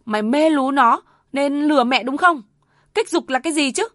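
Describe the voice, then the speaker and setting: A woman's voice: high-pitched, normal speed, a bit bright, no room sound, clean studio sound, pitched above the performer's own voice. Shrieking mother-in-law, scolding and wailing, put on by a woman narrator. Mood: furious.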